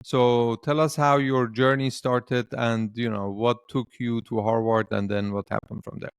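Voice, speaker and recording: Man hosting an interview show, smooth dry voice. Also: medium pitch, level tone, normal speed, dry recording. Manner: friendly, hesitant questions with many fillers. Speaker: podcast host.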